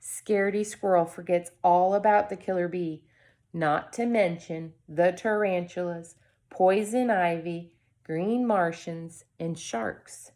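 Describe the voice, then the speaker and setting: A woman reading a storybook aloud to children, expressively, with deliberate dramatic pauses and short asides to her viewers. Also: on the low side, a little mellow.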